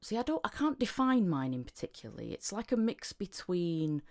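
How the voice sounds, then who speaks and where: Host: woman, low voice, Northern English with a Yorkshire tinge, rambling and chatty. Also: big pitch swings, normal speed, a little mellow. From Northern England. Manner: chatty, rambling with many restarts, laughs often. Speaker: podcast host. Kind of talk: casual chat.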